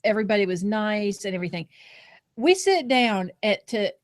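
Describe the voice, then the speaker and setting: A woman's voice: medium pitch, very animated, normal speed. A woman, co-hosting a podcast and chattily telling casual anecdotes. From North Carolina, US South. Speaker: podcast co-host.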